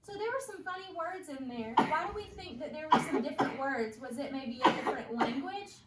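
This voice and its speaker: High-voiced woman reading aloud, echoey and distant. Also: expressive, brisk, a crowd in the background. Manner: questioning, repeats pupils' answers, emphatic teacher delivery. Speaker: teacher reading aloud to young children. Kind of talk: interactive storybook read-aloud. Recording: roomy echo, distant mic, background noise.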